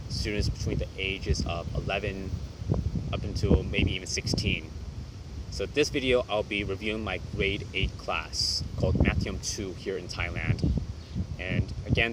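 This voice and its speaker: A young man who teaches English, talking through a reflective vlog in a quiet, reserved way with hesitant restarts. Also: fairly low pitch, very noisy.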